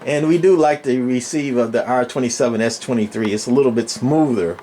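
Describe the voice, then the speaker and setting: A man giving a casual, unscripted show-and-tell as a collector, rambling and often pausing to search for words. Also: mid-range voice, warm tone, a little hiss or hum. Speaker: radio collector and channel host.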